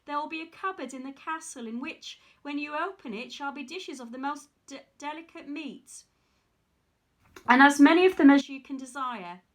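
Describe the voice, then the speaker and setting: A woman's voice: high-pitched, normal speed, close to an everyday voice. A woman voicing a magical talking golden fish while reading a fairy tale aloud. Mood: solemn. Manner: storybook read-aloud, precise.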